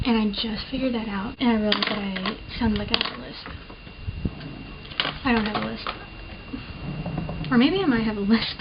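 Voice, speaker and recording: A young woman chatting through a makeup tutorial in a casual, self-deprecating, rambling way, with a slight lisp from the camera mic. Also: fairly high pitch. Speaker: beauty vlogger. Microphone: built-in camera mic. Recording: muffled lo-fi camera mic with clear hiss.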